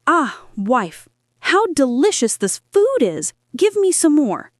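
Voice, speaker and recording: A woman reading a storybook aloud, voicing a worried, simple-hearted father with a plaintive, gently puzzled tone. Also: high-pitched, very animated, slow pace, dry recording, clean studio sound, full character voice. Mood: uneasy.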